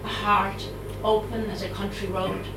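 A middle-aged Irish woman with a Dublin accent, giving a live poetry reading, reciting in a measured way and chatting hesitantly with fillers between poems. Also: medium pitch, level tone, noisy background. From Ireland. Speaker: poet. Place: live reading event in a room. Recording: roomy, distant mic, audible room ambience.

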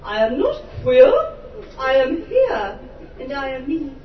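A woman's voice: high-pitched, sing-song, takes it slowly. An Irish woman performing her spoken word poetry to a live audience, impassioned and theatrical with wry humorous asides. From Ireland. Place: live venue before an audience. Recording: roomy, distant, dull live recording with background noise.

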